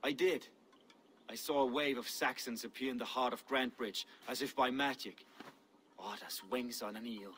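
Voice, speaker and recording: An actor playing an earnest Viking visionary in a video game, speaking in a solemn, deliberate, dramatic way. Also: male voice, medium pitch, steady tone, fairly bright tone, a little hiss or hum, lightly acted. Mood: intense. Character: mystical Viking seer driven by visions, earnest. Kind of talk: video game cutscene dialogue.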